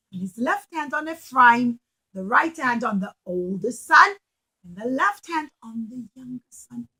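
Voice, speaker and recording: A woman teaching as an animated storyteller for children, speaking simply and emphatically and putting questions to her listeners. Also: on the high side, breathy edge, rough recording.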